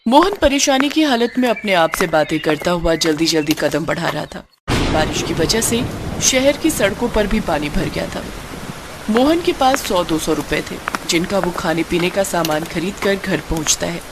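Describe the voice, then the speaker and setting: A woman's voice: fairly low pitch, expressive, moderate pace, background noise. A woman narrator reading a scripted story for a cartoon.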